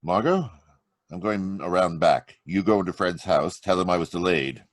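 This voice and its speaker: A man's voice: sits low, lively intonation, normal speed, no echo. A man playing a confident hero detective with a secret identity in an old-time radio drama read over a video call. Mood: determined.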